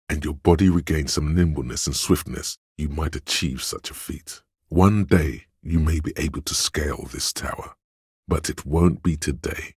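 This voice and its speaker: A man voicing a slick, suave inner voice that narrates a game in the second person, measured and wryly theatrical. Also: a little mellow, no echo, studio quality, full character voice. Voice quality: very deep, husky.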